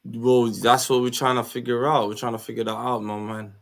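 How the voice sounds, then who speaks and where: A man from Somaliland speaks with a British accent at an average pitch. He hosts a live stream in casual chat, informal and full of slang. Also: level tone, fairly quick.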